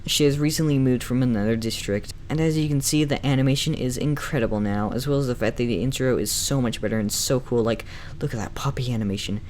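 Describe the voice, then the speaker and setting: A man's voice: medium pitch, quick pace, few pauses, over music. A man giving semi-scripted review commentary in an opinionated, enthusiastic fan's way. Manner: opinionated, enthusiastic fan talk. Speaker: reviewer and narrator of a video essay. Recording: clearly noisy background.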